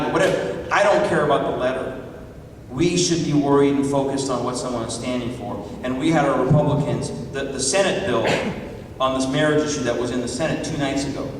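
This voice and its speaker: A man's voice: medium pitch, slight background noise. Iran-born man preaching in an echoey hall. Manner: emphatic, fond of rhetorical questions to the crowd. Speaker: pastor and public speaker. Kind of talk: political speech to a live audience. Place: large meeting hall. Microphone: distant mic in the room. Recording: echoey hall recorded from far off.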